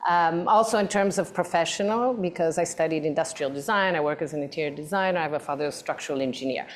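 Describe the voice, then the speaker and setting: Casting: low-voiced woman moderator, halting, full of um and repeats. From mixed background. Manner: hesitant, repeats words, many fillers. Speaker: moderator and design teacher. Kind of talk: moderated design talk.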